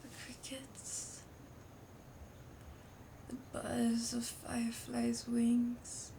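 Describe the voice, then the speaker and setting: A woman playing a playful, dreamy French roommate in an intimate ASMR roleplay, breathy and often whispering, with a French accent and a languid, teasing delivery. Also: on the high side, monotone, drawn-out words, exaggerated voice. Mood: dreamy, hushed. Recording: outdoor summer ambience noise behind voice. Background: birdsong, crickets, wind chimes.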